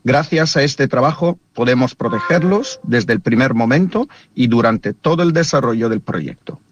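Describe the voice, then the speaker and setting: A man's voice: mid-range voice, expressive, moderate pace, muffled recording, noisy background. A man delivering a prepared, scripted statement.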